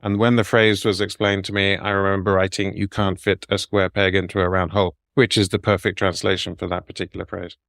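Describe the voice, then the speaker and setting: A middle-aged man answering interview questions in a measured, explanatory way, laying out his advice point by point. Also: sits low, little pitch movement, creaky, fairly bright tone, dry recording, clean studio sound. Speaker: guest, medical translator.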